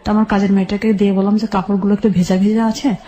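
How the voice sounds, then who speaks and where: A woman's voice: medium pitch, flat delivery, very fast, dark tone. A middle-aged woman with a Bangladeshi accent plainly and sombrely recounting her life step by step in a radio interview. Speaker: guest telling her life story. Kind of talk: personal testimony in a radio interview.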